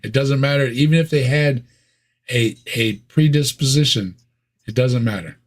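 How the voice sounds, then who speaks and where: Husky Midwestern man, wry reaction host. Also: medium pitch, moderate pace. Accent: Midwestern American. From American Midwest. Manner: wry, sarcastic, laughs often. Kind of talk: casual reaction chat.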